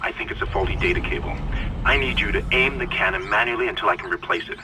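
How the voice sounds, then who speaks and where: An actor playing a brisk, practical spaceship crew leader, giving businesslike instructions over radio in a video game. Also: male voice, on the low side, natural voice. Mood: urgent, focused. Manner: businesslike, urgent briefing. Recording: distant radio link, loud noise behind.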